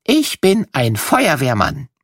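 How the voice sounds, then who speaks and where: Man acting a proud firefighter, playful, teasing, theatrical, children's audio story, higher swooping pitch. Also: huge pitch swings, slow, dry recording, clean studio sound, exaggerated voice. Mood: playful, boastful.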